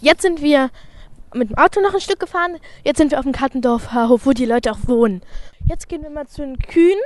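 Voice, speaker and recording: A woman's voice: high voice, fast. A woman reporting informally on location at a farm, chattily describing what is happening around her. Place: on a farm, mostly outdoors.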